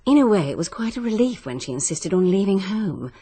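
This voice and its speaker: Well-to-do stepmother, mellow slightly husky voice, clipped, guarded, disapproving, radio play. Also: medium pitch, very animated, brisk. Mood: coolly regretful. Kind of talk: 1940s-style British radio drama acting.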